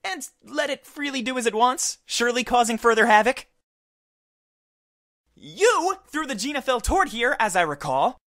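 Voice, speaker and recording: Woman doing a bossy scolding commander lady, very formal, visual novel game, dry close recording, pitch moves a lot. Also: sing-song, moderate pace, fairly bright tone, no echo. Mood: accusing. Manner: formal, imperious, clipped commands. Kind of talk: visual novel character acting.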